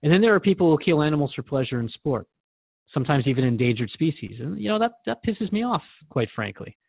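A man's voice: on the high side, sing-song, quick pace, creaky, husky, no room sound, narrow, tinny audio, heavily acted. A man playing an earnest, thoughtful radio host who interviews in a reflective, conversational and sometimes hesitant way. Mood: earnest, troubled.

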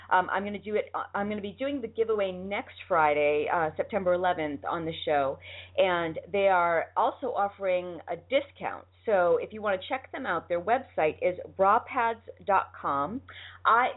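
An American woman hosting a radio show, chatting casually and enthusiastically through announcements and sponsor plugs in a rambling, informal way. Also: medium pitch. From United States, lived in Boston. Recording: thin, phone-like band-limited sound.

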